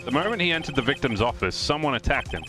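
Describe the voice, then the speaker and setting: Man acting animated, dogged young defense attorney. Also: mid-range voice, fast talker, natural voice. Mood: confident, pressing. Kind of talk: live fan dub of a courtroom video game. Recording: music behind the voice. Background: game music.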